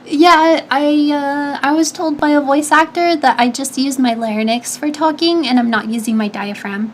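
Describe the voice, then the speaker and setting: A woman streamer answering her chat in casual talk, with a high-pitched, slightly nasal, stuffy voice, chatty and full of restarts. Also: moderate pace, slight background noise. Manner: chatty, advice-giving, lots of restarts and fillers. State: stuffy nose.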